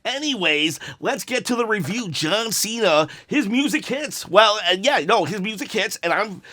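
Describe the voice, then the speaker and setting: A man hosting a one-take wrestling review, talking in a rambling, self-mocking, jokey way with a raspy, hoarse voice that breaks into coughs. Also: high voice, lively intonation, moderate pace, bright, forceful tone. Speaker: wrestling review channel host.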